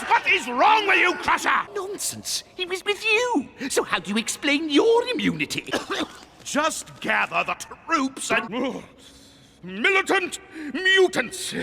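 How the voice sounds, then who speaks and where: A vain, scheming lizard monarch from an animated cartoon. The voice sits very high and swings widely, drawn out at a slow, grandiose pace. It is full of theatrical bluster and short-tempered contempt. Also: male voice, full character voice. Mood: exasperated, furious. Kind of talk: animated cartoon villain acting. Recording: cartoon soundtrack with loud music behind.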